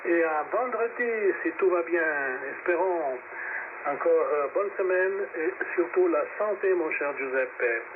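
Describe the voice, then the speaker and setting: High-voiced man on crackly narrowband radio. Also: unhurried. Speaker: radio net host. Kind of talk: casual radio net relay. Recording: noisy narrowband shortwave radio link.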